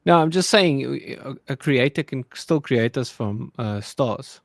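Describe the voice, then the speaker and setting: A man's voice: mid-range voice, animated, a little slow, gravelly, no room sound. Earnest man, dry close mic. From South Africa. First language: Afrikaans. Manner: earnest, searches for words. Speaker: guest. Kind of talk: casual debate on a call.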